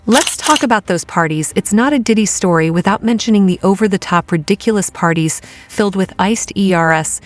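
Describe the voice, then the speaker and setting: A woman's voice: medium pitch, brisk, few pauses, background noise. A woman delivering scripted gossip narration in a dramatic, conversational way.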